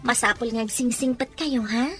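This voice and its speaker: A woman playing a devoted, gentle older sister in a radio-style drama. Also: on the high side, steady tone, normal speed, faint music behind, lightly acted. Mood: gently instructive. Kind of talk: Ilocano radio drama acting.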